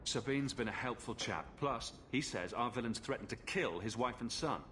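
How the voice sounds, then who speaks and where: An actor playing a confident, sympathetic adventurer with a wry, reassuring manner in a video game drama. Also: male voice, medium pitch, moderate pace, a bit bright, a little hiss or hum, close to an everyday voice. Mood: wry, persuasive. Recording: music behind the voice.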